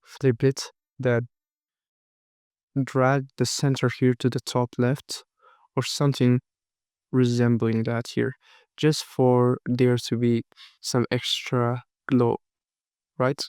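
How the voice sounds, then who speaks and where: A man's voice: medium pitch, monotone, a little mellow, smooth, dry recording, studio quality. Man with a cold teaching design. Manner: unhurried, thinking aloud with frequent fillers and restarts. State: has a cold, voice cracking. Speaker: design course instructor. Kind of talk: screen-recorded software tutorial.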